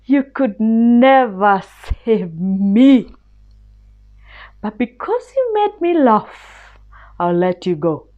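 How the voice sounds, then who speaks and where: A big, proud male storybook lion, played by a woman. He speaks very slowly and imperiously, swinging widely in pitch, on a slightly dull recording. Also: very animated, heavily acted. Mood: amused scorn. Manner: slow, drawn-out, imperious.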